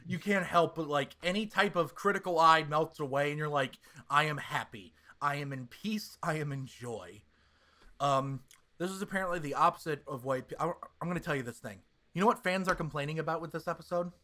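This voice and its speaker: A man co-hosting a casual pop-culture podcast, talking in an excitable, hyperbolic fan style with plenty of swearing. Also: a little high, a little fast. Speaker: podcast co-host.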